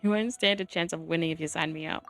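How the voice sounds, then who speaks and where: A woman's voice: low voice, fairly even intonation, fast talker, fairly bright tone, soft background music. A woman doing casual, playful gameplay commentary as a streamer, reacting freely to the game.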